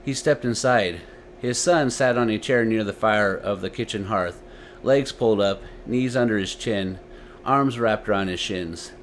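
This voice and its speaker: A man's voice: lowish voice, unhurried. Male storyteller with some background noise. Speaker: host and storyteller of a story series. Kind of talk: dramatic story narration. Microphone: desktop USB microphone.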